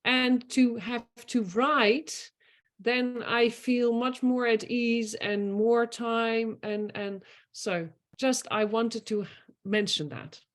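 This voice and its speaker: A woman's voice: fairly high pitch, fairly even intonation, slow. Dutch-accented woman sharing her view. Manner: searching for words, restarts. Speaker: student. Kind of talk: sharing experience in online meeting. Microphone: video call.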